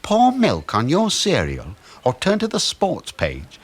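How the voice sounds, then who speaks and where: An actor in a radio play voicing a cheeky, breezy middle-aged British suburban husband who teases and muses like a know-all. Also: male voice, fairly high pitch, wildly swooping pitch, unhurried, slightly rough, exaggerated voice. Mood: teasing, needling. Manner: breezy, teasing, musing.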